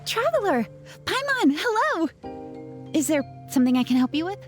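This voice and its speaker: A helpful young woman advising shopkeepers in a video game. Her high voice has a lively lilt, courteous and orderly, with music behind it. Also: moderate pace. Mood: bright greeting. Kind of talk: video game cutscene voice acting. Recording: background music under the voice.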